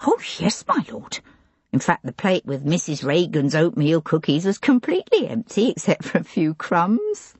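A woman voicing a plump, tearful older housekeeper who talks on anxiously and pleadingly, with a breathy voice. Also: on the low side, sing-song, moderate pace, darkish tone, dry recording. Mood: earnest.

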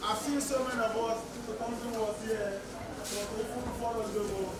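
A man's voice: high voice, fairly even intonation, normal speed. A middle-aged man with a Nigerian accent gives a formal, ceremonious welcome as master of ceremonies, projecting to a hall. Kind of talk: formal welcome address at a stage play. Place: theatre hall before a seated cast and audience. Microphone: handheld mic, picked up by a far camera. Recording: echoey hall from far off, noisy crowd. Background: loud crowd noise.

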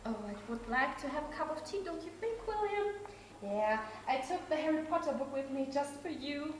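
A woman's voice: high-pitched, expressive, normal speed. A young woman acting in an amateur comic skit, playful and giggly, taking on the part of a tourist. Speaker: student actor in a skit. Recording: very echoey hall, noisy camera mic.

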